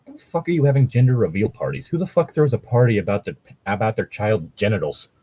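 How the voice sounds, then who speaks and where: A man's voice: fairly low pitch, expressive, fast, warm tone, husky. A man chatting casually as a co-host, joking and ranting sarcastically with plenty of swearing. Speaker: co-host reading and mocking fan fiction.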